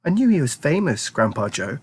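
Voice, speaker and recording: A man's voice: medium pitch, very animated, a little fast, slightly rough. A man voicing a polite, curious young boy who asks earnest questions.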